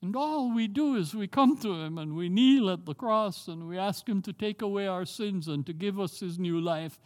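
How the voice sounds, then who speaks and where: Older male pastor, Jamaican accent, earnest sermon delivery, highish voice. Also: long reverb tail. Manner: earnest, emphatic, slows down to stress key phrases.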